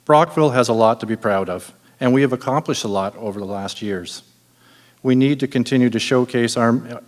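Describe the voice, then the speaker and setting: Guy reading his campaign pitch, formal and steady, hall echo behind him. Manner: measured, formal closing statement. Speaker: city council candidate. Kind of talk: prepared campaign speech. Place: council chamber debate.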